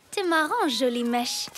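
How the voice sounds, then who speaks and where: A woman's voice: sits high, a bit bright, slight background noise, heavily acted. A woman voicing a sassy, teasing young schoolgirl in a cartoon. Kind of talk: animated cartoon dialogue.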